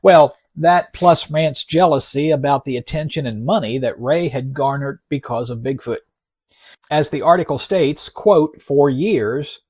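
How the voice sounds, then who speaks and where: A man hosting a podcast, narrating in a folksy, wry and measured way, reading out quotes with deliberate emphasis. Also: on the high side, a little mellow, husky, no room sound. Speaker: podcast host. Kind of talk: narrated podcast storytelling with read-out article quotes.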